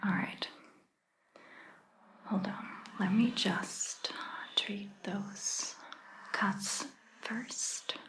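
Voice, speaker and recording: Very slow, whispering woman playing vampire doctor. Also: mid-range voice, gravelly, poor audio. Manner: unhurried, gentle, reassuring, with long pauses. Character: calm, caring centuries-old female vampire doctor. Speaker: ASMR roleplay performer. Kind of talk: soft-spoken ASMR roleplay, mostly whispered.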